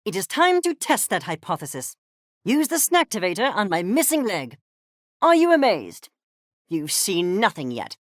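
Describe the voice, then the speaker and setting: A woman's voice: medium pitch, sing-song, normal speed, no room sound, studio quality, natural voice. A woman voicing a haughty, eccentric furry creature scientist in a video game, speaking in a verbose, condescending and theatrical way with precise articulation. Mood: commanding, eager. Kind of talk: video game character acting.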